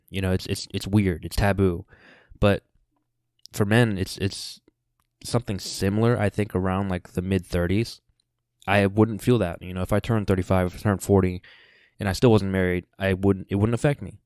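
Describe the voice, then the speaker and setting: A man's voice: deep voice, a little mellow, clear, resonant tone, no echo, studio quality. A man in his twenties, hosting a podcast, talks casually in a rambling, laid-back way full of fillers and restarts. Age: 20s. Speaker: podcast host. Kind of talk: casual solo podcast monologue.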